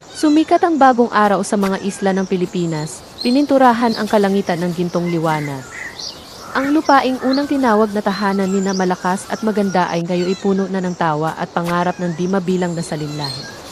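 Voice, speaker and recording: A woman's voice: mid-range voice, brisk, background noise. A woman storyteller reading a scripted folktale narration.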